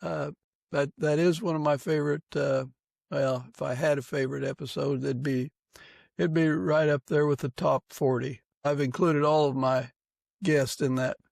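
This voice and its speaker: A man with a fairly high, creaky voice hosts a casual interview. He puts questions and adds his own remarks conversationally, in a dry recording. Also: fairly even intonation, moderate pace, a little mellow, no echo. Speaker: podcast host, interviewer.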